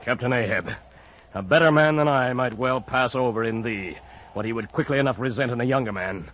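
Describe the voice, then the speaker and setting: An actor playing an earnest, steadfast ship's first mate, respectful but firm in formal archaic speech, in an old-time radio drama. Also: male voice, mid-range voice, a little fast, fairly bright tone, close to an everyday voice. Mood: grave warning. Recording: dull, noisy vintage radio recording.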